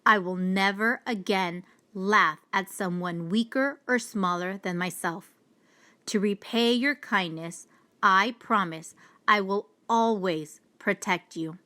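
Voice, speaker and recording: A proud, boastful male storybook lion, acted out by a woman. The voice is bright and deliberate, speaking slowly with self-assured emphasis. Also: mid-range voice. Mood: humbled, grateful.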